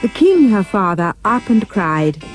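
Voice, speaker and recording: A woman's voice: mid-range voice, very animated, measured pace, faint music behind. A woman narrating a rhyming storybook tale for a puppet show with dramatic, emphatic delivery.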